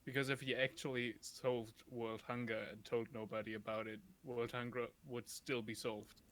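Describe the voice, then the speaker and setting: A man's voice: medium pitch, flat delivery, normal speed, fairly bright tone. A man chatting casually on a game stream, teasing and playfully arguing with friends.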